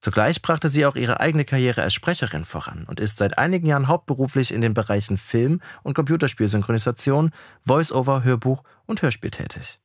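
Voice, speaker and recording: A man's voice: mid-range voice, animated, a little fast, no echo, studio quality. A man reading a scripted podcast introduction as narrator. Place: dry, close studio-style recording.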